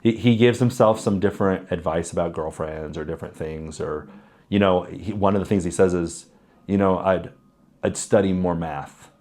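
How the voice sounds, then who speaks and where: A man in his thirties with a raspy voice, candidly answering interview questions in a reflective, conversational way with personal stories. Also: sits low, moderate pace, darkish tone. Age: 30s.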